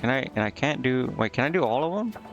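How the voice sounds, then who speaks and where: Gravelly male game streamer, music behind. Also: medium pitch, very animated, quick pace. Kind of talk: casual gaming stream commentary, reading game text aloud and thinking out loud.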